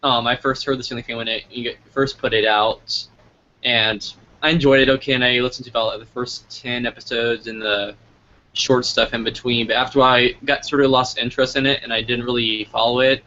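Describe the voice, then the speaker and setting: A man's voice: medium pitch, level tone, a little fast, bright, forceful tone. An American man interviewing a guest in a casual, chatty style. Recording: lo-fi audio with clear background noise.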